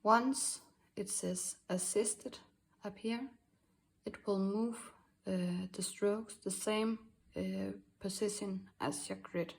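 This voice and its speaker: A woman from Denmark with a Danish accent, hosting a drawing tutorial in a halting way with many fillers and soft asides. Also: mid-range voice, fairly even intonation, vocal fry. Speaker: art tutorial host. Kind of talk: screen-recorded drawing tutorial.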